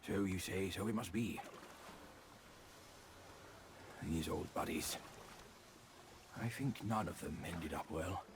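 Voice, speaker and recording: An actor voicing a cheeky, vain but good-natured pig demon in a video game cutscene, playful and protesting. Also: male voice, low-pitched, unhurried, background noise. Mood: rueful, vain.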